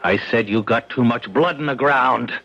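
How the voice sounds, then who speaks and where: An actor playing a blunt, defensive neighbor who gets excited quickly. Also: male voice, medium pitch. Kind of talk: 1970s radio drama acting. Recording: dull lo-fi radio broadcast.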